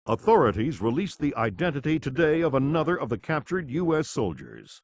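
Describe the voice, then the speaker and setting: Grave newsreader with animated intonation. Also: male voice, mid-range voice, no echo. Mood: grave, formal. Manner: formal, measured announcing. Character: grave male television news anchor. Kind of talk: scripted news bulletin in a video game.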